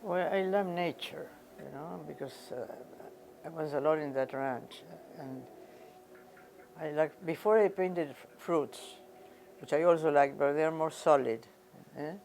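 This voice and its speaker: An older woman from Argentina, a painter giving reflective interview answers, unhurried and often searching for words and repeating phrases. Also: low-pitched, gravelly, darkish tone, a little hiss or hum, low-quality recording.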